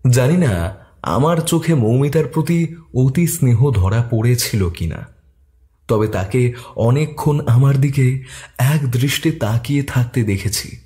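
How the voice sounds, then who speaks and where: A man narrates a detective story at a steady pace. His voice has a mellow, dark tone and a mid-range pitch. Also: a little slow.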